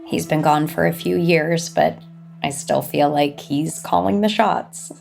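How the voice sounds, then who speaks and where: Creaky-voiced icy female executive. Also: sits low, unhurried, darkish tone, soft background music, full character voice. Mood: resentful, determined. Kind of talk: audio soap opera acting.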